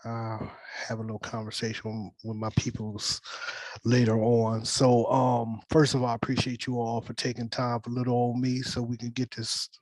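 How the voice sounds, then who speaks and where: A man's voice: medium pitch, completely flat delivery, normal speed, darkish tone, no echo. A man hosting a virtual table read over a video call, talking casually and colloquially with a joking, teasing manner. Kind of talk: casual meeting talk. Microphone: video call microphone.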